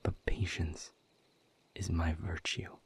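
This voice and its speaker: A weary, guarded demon captive from an audio drama, acted by a man, with a very low, husky voice that often sinks toward a whisper. He is bitter and quietly defiant, choosing his words slowly and with sardonic formality. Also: flat delivery, full character voice. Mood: weary, resolute. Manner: measured, deliberate, sardonic, formal phrasing. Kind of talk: scripted audio roleplay monologue.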